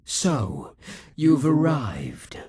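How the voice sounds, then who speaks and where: A man's voice: fairly high pitch, big pitch swings, very slow, creaky, a little mellow, slightly rough, full character voice. A man voicing a proud, possessive male demon king who taunts and schemes, sometimes dropping to a whisper. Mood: taunting. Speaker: voice actor in an audio roleplay. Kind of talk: audio roleplay acting.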